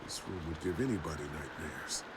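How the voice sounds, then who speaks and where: A calm male survivor leader. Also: a bass voice, fairly quick, slight background noise. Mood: reassuring. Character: steady adult male survivor leading a group. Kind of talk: video game voice acting. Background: game music.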